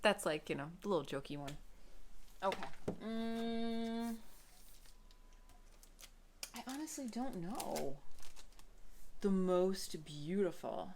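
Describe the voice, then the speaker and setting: A woman book vlogger chatting casually to camera in an expressive, emphatic way. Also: mid-range voice, slow, pauses often, slight background noise.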